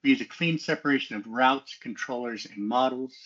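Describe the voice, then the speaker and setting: A man's voice: medium pitch, brisk, a bit bright. Methodical man presenting, slightly muffled audio. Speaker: student developer presenting a software project. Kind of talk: technical walkthrough, semi-scripted presentation.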